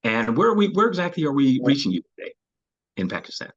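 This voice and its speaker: A man hosting a recorded video-call interview, speaking in a measured way with frequent fillers. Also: mid-range voice, big pitch swings, highs cut off.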